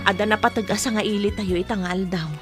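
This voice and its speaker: A woman's voice: mid-range voice, fairly even intonation, fast, over loud music, natural voice. A woman playing a kind, reassuring young woman with an Ilocano accent in a radio drama, respectful to her elders. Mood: coy, reassuring.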